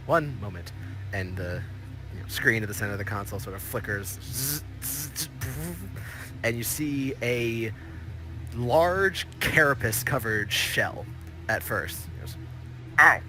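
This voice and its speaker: A man's voice: medium pitch, animated, a little slow, over music. A man narrating a tabletop game as game master, casual and meandering with many restarts.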